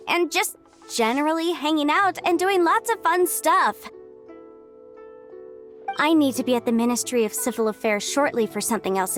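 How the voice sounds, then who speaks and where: A woman's voice: high-pitched, natural voice. A woman playing a breezy, helpful young friend in a video game, encouraging and carefree in manner.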